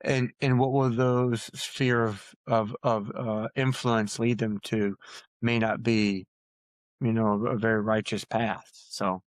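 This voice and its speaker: A man's voice: on the low side, level tone, takes it slowly, dry recording. A man speaking as a guest author in an interview, in a reflective, storytelling way with emphatic points. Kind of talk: earnest conversational interview answers.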